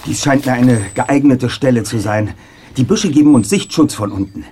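An actor playing a self-assured teenage detective leader in a radio play, formal and articulate. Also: male voice, mid-range voice, normal speed, mellow, a little hiss or hum, close to an everyday voice. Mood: decisive. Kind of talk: German radio play acting.

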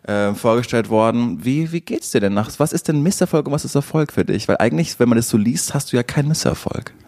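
A man's voice: mid-range voice, lively intonation, quick pace, a little mellow. A man hosting a casual podcast chat, introducing and questioning people conversationally. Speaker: podcast host.

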